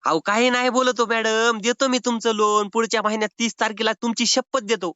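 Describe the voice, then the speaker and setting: A man's voice: sits high, level tone, brisk, pushed and bright, clear, resonant tone, no echo. A man playing a cheeky, evasive rural Maharashtrian borrower with a whiny, argumentative way of stalling in a comic phone call. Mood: mock-earnest. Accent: rural Maharashtrian Marathi. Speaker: prank caller playing a loan defaulter. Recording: slightly dull phone-call audio.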